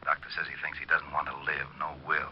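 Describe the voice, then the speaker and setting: An American actor playing a gruff, long-suffering police lieutenant with a husky voice and dry delivery in an old radio drama. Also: male voice, very deep voice, completely flat delivery, fast, exaggerated voice. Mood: grave. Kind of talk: 1940s-50s radio acting. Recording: dull vintage radio recording.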